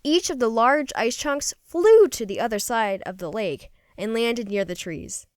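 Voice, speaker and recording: A woman reading a children's story aloud in an expressive, folksy first-person storytelling manner. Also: on the high side, unhurried, no echo, clean studio sound. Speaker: storybook reader.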